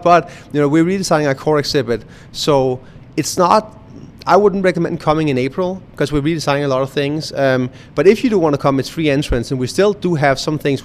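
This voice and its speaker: A young adult man from Denmark with a Danish accent, a museum director chatting in a radio interview with lots of fillers and restarts. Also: mid-range voice. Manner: hesitant, many fillers and restarts.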